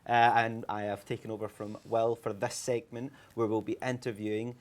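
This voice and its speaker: A man's voice: fairly low pitch, level tone, normal speed. A young Scottish man hosting a livestream interview, chatty and upbeat with plenty of ums. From Scotland. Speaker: livestream host. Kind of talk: casual interview chat.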